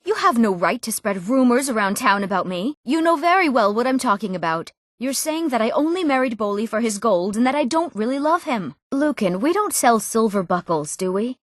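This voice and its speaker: An actress playing an eager, earnest young townswoman in a fantasy video game, speaking her scripted lines expressively and clearly. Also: on the high side, big pitch swings, brisk, few pauses, no echo, studio quality. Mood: indignant, then eager, hopeful.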